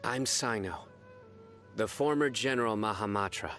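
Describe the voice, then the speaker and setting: An actor playing a stern, calm enforcer of justice in a video game, with measured, deadpan delivery. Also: male voice, on the low side, over music, close to an everyday voice. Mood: solemn. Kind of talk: video game character voice lines.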